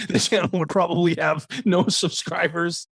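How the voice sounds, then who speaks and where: A man's voice: sits high, fairly even intonation, unhurried, creaky, fairly bright tone, slightly rough, no echo. A man co-hosting a podcast, upbeat and encouraging as he plugs the guest's site. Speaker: podcast co-host. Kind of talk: casual chat and show promotion.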